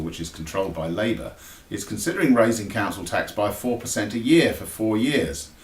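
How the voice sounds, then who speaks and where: Lively British man reading news, roomy echo. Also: fairly low pitch. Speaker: local news reader. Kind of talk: scripted weekly news round-up with wry commentary.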